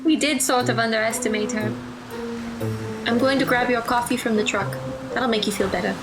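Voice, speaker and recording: A woman's voice: a little high, a little fast, over loud music, close to an everyday voice. A woman playing a level-headed, supportive teenage girl in an audio drama, reasonable and reassuring.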